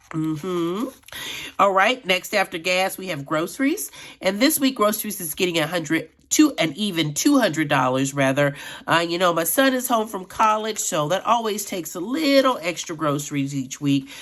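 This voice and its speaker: Middle-aged woman, lowish voice, slightly raspy, chatty and friendly, casual home vlog. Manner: chatty, addresses viewers as friends. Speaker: budgeting vlogger. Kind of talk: casual chat while counting cash.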